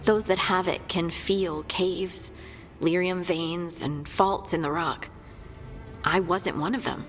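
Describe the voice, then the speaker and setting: A woman's voice: fairly low pitch, fairly even intonation, slow, faint music behind. A woman playing an earnest young dwarf scout in a fantasy video game, sincere and a little hesitant. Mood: wondering. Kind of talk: scripted video game dialogue.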